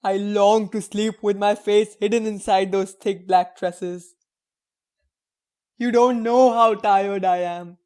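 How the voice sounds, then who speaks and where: A woman's voice: mid-range voice, steady tone, slow, warm tone, lightly acted. A fearsome, world-weary ruler acted by a woman in an audio drama. The delivery is theatrical and brooding, like a stage tyrant who hides away. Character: fearsome, weary male ruler hidden behind a network. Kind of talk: stage-like English audio play acting.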